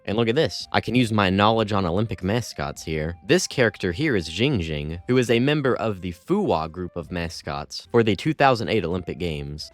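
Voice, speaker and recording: A man's voice: on the low side, dry recording. A man hosting a video essay, delivering casual scripted commentary in a wry, understated way. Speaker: YouTube video essayist, host.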